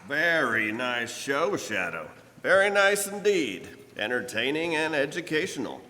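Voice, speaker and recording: An actor playing a gruff, wry American police commissioner in an old-time radio crime drama, performed live. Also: male voice, on the high side, sing-song, a little slow, noisy background. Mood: smugly triumphant. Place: church hall stage before an audience. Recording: echoey church hall live performance.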